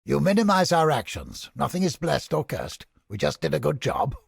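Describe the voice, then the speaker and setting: A man playing a cynical, sardonic, smugly godless inquisitor scholar in a video game, with a gravelly, husky voice and deliberate, formal, theatrical delivery. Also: a little high, sing-song, no echo, clean studio sound, full character voice. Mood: wry. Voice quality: gravelly, husky, breathy edge.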